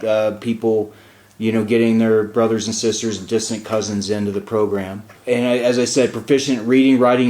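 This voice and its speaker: A man's voice: fairly low pitch, a little mellow, reverberant, slight background noise, low-quality recording. A man hosting a casual talk show, explaining things in a chatty way with frequent fillers. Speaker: radio show host and diplomat.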